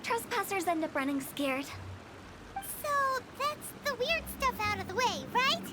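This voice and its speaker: A woman's voice: very high-pitched, very animated, a little slow, very noisy, full character voice. A woman playing a playful, eccentric teenage girl funeral director in a video game, with a teasing, chirpy, theatrical delivery.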